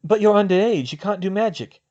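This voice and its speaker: A man voicing an excitable, blunt teenage boy who exclaims in disbelief. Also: high-pitched, fairly quick, highs cut off, pitched above the performer's own voice, natural voice. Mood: incredulous. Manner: exclaiming, incredulous.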